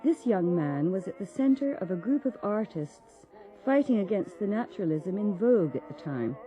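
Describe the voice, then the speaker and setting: A woman giving measured, formal scripted narration for a documentary. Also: mid-range voice, sing-song. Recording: dull lo-fi sound with faint music.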